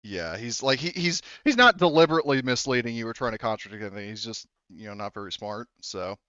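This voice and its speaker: A man's voice: medium pitch, animated, fast, sharp tone, smooth, dry recording, muffled recording. A man working as a game master, narrating a tabletop game casually in an informal, profane, rambling way.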